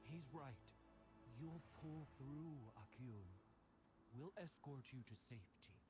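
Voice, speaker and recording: An actor playing a steady, reassuring walrus-folk leader in a video game, calm and commanding. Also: male voice, mid-range voice, takes it slowly, over music. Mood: resolute, reassuring.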